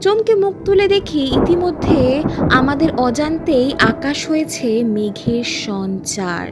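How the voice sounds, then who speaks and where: A woman's voice: high-pitched, lively intonation, slow, background noise. A woman storyteller giving a dramatic scripted narration of a horror story.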